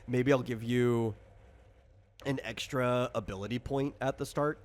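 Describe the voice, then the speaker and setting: A man's voice: medium pitch, steady tone, measured pace. A man casually walking a player through a tabletop game as game master, rambling, explanatory and freely opinionated.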